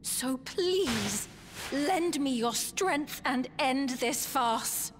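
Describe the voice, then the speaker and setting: High-voiced, righteous young queen. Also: animated, drawn-out words, a little hiss or hum, heavily acted. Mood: earnest pleading. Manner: formal, earnest, stately. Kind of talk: video game cutscene acting.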